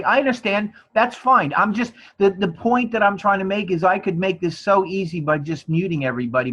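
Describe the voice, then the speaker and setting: A man's voice: a little high, a bit bright. A man with a New Jersey accent teaching an informal online class in a chatty, encouraging way. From New Jersey, USA. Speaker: college instructor. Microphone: online class call. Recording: dull, band-limited online class audio.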